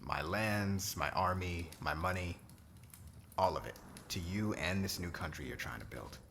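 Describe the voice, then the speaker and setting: Man playing a low-voiced, flirtatious warrior commander. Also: unhurried, vocal fry, fairly bright tone, slight background noise, heavily acted. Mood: earnest. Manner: casual, slangy, teasing. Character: cocky, laid-back, flirtatious warrior commander. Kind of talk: ASMR roleplay acting.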